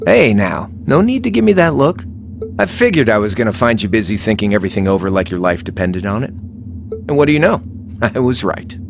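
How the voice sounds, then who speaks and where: A man's voice: lowish voice, very animated, fairly quick, creaky, faint music behind. An actor playing a cocky, laid-back young male rebel in a video game, teasing and flippant in a casual way. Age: young adult.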